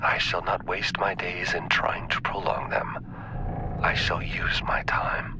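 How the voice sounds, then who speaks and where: A man acting a weary, menacing doctor in a video game, with a breathy, hushed voice and a grave, deliberate delivery. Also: sits low, little pitch movement, whispered. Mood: cold conviction. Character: weary, menacing male doctor convinced he saves lives.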